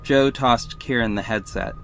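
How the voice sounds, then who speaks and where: A man's voice: medium pitch, measured pace, vocal fry, a bit bright. Man narrating over prominent music. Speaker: writer, director and narrator of an audio drama. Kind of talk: scripted first-person narration. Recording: music behind the voice.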